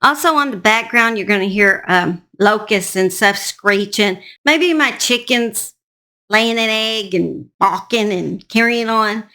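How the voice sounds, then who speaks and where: A woman's voice: medium pitch, a little slow, pushed and bright. A woman with a Southern US accent, earnestly narrating true crime stories as an online host. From Southern United States. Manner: earnest, serious.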